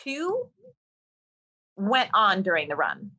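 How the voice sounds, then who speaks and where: Bright-voiced woman telling a backstage story. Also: medium pitch, huge pitch swings, slow pace. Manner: chatty, many fillers and restarts. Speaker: stage actor and singer, guest. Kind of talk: casual conversational storytelling.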